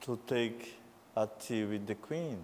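A man's voice: on the low side, takes it slowly, darkish tone, long reverb tail, slight background noise. A man from Brazil with a Brazilian Portuguese accent, a native Portuguese speaker, preaching a sermon and rising to fervent calls to the congregation.

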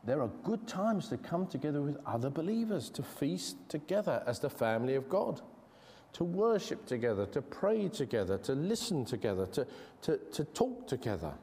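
A man with an English accent preaching a sermon in a church, earnest and conversational, slowing down to stress key words. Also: on the high side, very animated, dark tone. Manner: earnest, conversational, with frequent restarts and slow emphasis. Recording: long hall reverb.

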